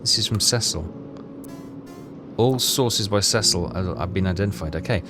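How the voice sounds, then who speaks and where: A man with a low voice narrates his way through a game in a casual, halting way. He mutters his thoughts and reads passages aloud. Loud music plays behind him. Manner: muttering, thinking aloud, halting while reading. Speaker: video game walkthrough player. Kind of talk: casual game commentary mixed with reading in-game text aloud.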